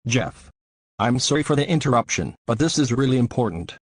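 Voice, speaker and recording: A man's voice: mid-range voice, lively intonation, fairly quick, breathy edge, no echo, studio quality. A man playing an urgent news reporter in a mock breaking-news report.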